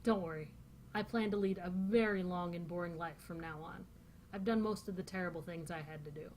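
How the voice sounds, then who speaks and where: A Northern Californian woman reading aloud the lines of a calm, scheming man from a novel, in a dry, offhand way. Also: on the low side, fairly quick, a little hiss or hum, lightly acted. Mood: wry.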